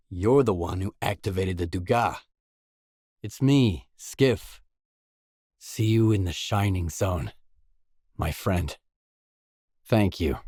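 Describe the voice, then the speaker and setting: A man playing a grim, world-weary lone stalker in a video game, terse and brooding, with a husky voice. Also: low-pitched, a little mellow, dry recording, clean studio sound, heavily acted. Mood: guarded, then solemn. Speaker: game character.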